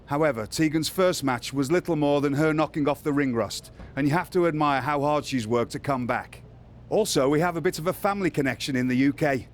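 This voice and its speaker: A man playing a sly, genteel British general manager in a wrestling video game, formal and smoothly condescending. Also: on the high side, a little fast, slight background noise, close to an everyday voice. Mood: genial, sly. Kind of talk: video game cutscene acting.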